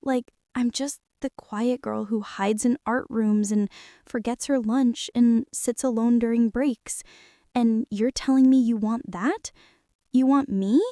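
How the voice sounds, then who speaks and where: Woman acting a shy, high-voiced, nervous art girl. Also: steady tone, no echo, studio quality, lightly acted. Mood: disbelieving, insecure. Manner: nervous, halting, rambling, soft-spoken. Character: shy, awkward, self-doubting teenage art girl. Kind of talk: girlfriend ASMR roleplay acting.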